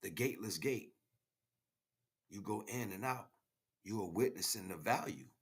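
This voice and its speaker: A man's voice: on the low side, expressive, moderate pace, husky. A man giving an impromptu spiritual monologue as a teacher, speaking directly to the listener and repeating phrases for emphasis.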